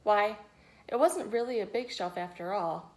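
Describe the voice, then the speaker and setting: A woman reading a picture book aloud to young children, expressively, pausing to ask them questions and add her own asides. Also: mid-range voice, darkish tone. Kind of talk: read-aloud storytelling with asides to young listeners.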